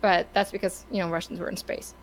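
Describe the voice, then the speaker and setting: A woman's voice: lowish voice, little pitch movement, fast talker, a little hiss or hum, low-quality recording. A woman chatting casually and giving her opinions on a podcast, stammering and restarting her sentences often. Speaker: podcast co-host.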